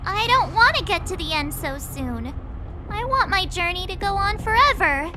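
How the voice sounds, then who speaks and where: A woman's voice: very high voice, animated, moderate pace. A woman voicing a perky, chatty teenage girl adventurer in a video game. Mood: uneasy, wistful. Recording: music behind the voice.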